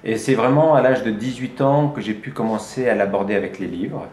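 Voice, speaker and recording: A man's voice: lowish voice, a little fast, a little mellow, roomy sound, faint music behind. A middle-aged man from France, a life coach telling his story in a chatty interview with frequent hesitations. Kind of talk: conversational interview.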